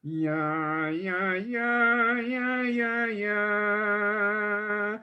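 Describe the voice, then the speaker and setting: A man's voice: high voice, steady tone, extremely slow, smooth. Man singing exercises over dull call audio. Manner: sings long runs of short laughing vocal exercises. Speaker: choir singer in a class. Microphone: video call.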